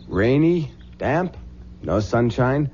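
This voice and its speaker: An actor playing a teasing, devoted young American husband in a 1970s radio drama. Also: male voice, mid-range voice, big pitch swings, slow, a little mellow. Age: young adult. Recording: muffled, clearly noisy old radio broadcast.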